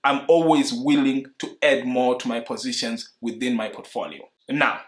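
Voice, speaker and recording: A young man from Zimbabwe, explaining his investing approach to camera in an earnest, measured way, seated indoors. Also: medium pitch, fairly even intonation. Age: young adult. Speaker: investing YouTuber. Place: indoor room in front of a leather sofa.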